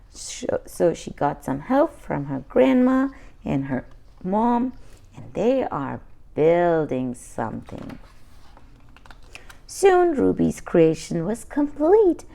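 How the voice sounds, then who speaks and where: A woman's voice: on the high side, vocal fry. A woman reading a children's picture book aloud, adding her own little asides to the listener. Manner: slow and lively, pausing to add asides.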